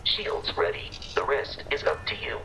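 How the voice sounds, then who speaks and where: An actor playing a dutiful robot ship's assistant in a video game, formal, precise and deadpan. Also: male voice, on the low side, moderate pace, vocal fry, very noisy. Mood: calm, instructive. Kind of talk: scripted game dialogue.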